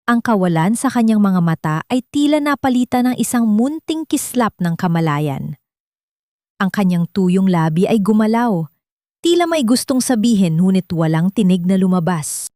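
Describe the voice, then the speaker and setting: A woman's voice: medium pitch, big pitch swings, normal speed, dark tone, no room sound, clean studio sound. A woman narrating a dramatic audio love story as scripted storytelling.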